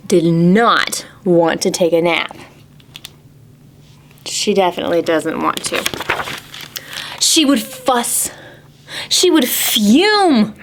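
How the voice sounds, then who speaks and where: Slow, high-voiced woman reading to preschoolers. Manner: animated, exaggerated, asking the children questions. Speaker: children's librarian, storytime host. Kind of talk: children's picture-book read-aloud and singalong.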